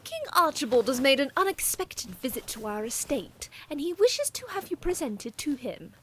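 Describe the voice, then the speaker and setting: A woman playing a bossy high-pitched noble mother. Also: sing-song, normal speed, a bit bright, a little hiss or hum, rough recording. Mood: commanding. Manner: theatrical, bossy.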